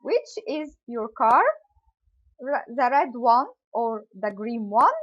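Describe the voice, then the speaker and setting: Slow, lively-pitched woman teacher. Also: lo-fi sound. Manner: slow, careful explaining with example questions and answers. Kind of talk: English grammar lesson.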